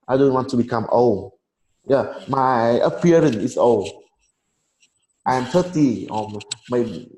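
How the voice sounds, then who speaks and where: A man in his thirties, a Vietnamese native speaker, chatting casually in halting English and laughing often. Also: medium pitch, darkish tone. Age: 30s. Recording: echoey room, faint noise.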